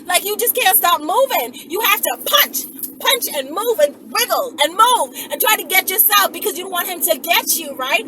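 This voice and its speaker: A woman telling a story casually to her viewers in an animated, emphatic, sweary manner. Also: very high-pitched, moderate pace. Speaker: channel host. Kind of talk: casual self-defense storytelling, acted-out scenario. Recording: distant mic with clear background noise.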